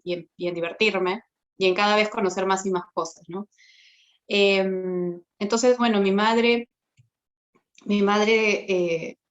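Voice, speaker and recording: A woman tells her story at a middle pitch, thoughtful and unhurried. She often hesitates and trails into tag questions. The recording sounds somewhat dull and lo-fi. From Peru. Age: 30s. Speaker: guest giving a faith testimony. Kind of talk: personal testimony.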